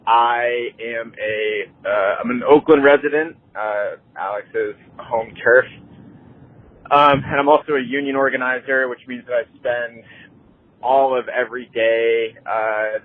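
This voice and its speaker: A man leaving a casual, measured voicemail as a caller, over a thin phone line. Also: mid-range voice, fairly even intonation, dry recording.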